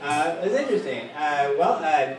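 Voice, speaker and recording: Guy doing a fake news bit onstage, lots of uhs, dry, swoopy pitch, echoey bar mic from far back. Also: big pitch swings, background noise. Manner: halting, full of uhs, dry delivery. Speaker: comedy show co-host. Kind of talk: live comedy bit, mock news report. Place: comedy bar stage with live audience. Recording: echoey live room from far off, loud crowd.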